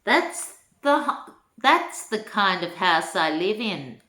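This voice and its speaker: An older woman, a grandmother, reads a rhyming children's storybook aloud in an unhurried lilt, chatting to young listeners in asides. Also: medium pitch, very animated, pushed and bright. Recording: echoey room.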